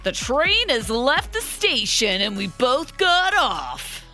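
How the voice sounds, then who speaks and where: A woman's voice: sits high, very animated, slow, bright, forceful tone, faint music behind. A woman in her thirties co-hosting a podcast, bubbly and blunt in casual comedic recap chat. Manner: bubbly, giggly, blunt and crude. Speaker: podcast co-host.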